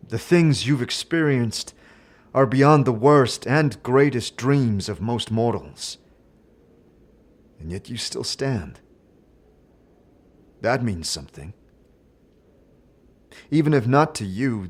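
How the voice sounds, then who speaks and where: Man, slow deliberate delivery, mid pitch, scripted audio drama narration and dialogue, measured.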